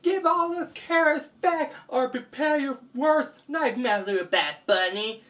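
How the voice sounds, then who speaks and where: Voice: high voice, a little slow, a bit bright, pitched above the performer's own voice. A storyteller voicing a confident superhero girl, commanding and dramatic in delivery. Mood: stern, defiant. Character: bold, confident female superhero girl named Dreamer. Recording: distant mic, faint background noise.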